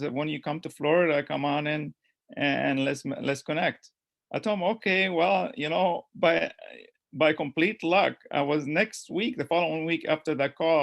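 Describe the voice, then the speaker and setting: A man's voice: medium pitch, creaky. A man from Michigan on a video call, telling a rambling, enthusiastic story. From Michigan, United States. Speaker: webinar participant. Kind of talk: casual testimonial.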